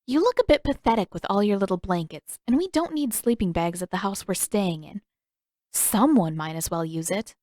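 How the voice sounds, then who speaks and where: A woman voicing a brisk, confident, teasing teenage girl in an audiobook story, with a sardonic manner. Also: medium pitch, lively intonation, darkish tone, dry recording, studio quality, close to an everyday voice. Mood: wry. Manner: sardonic, dismissive.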